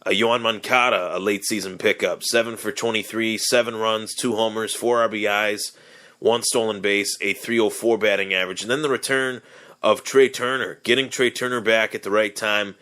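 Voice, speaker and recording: Slightly hoarse young man, rambling. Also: on the low side, fairly even intonation, moderate pace, sharp tone. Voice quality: raspy. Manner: rambling, with many fillers and restarts. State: hoarse from yelling. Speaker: podcast host. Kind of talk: casual solo sports podcast.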